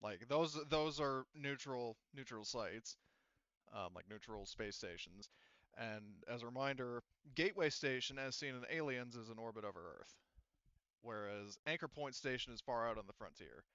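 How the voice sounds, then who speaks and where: A man speaking as a game master, explaining the story in a halting, conversational way. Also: mid-range voice, lively intonation, a bit bright, smooth, dry recording, dull audio. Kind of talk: improvised tabletop roleplay narration.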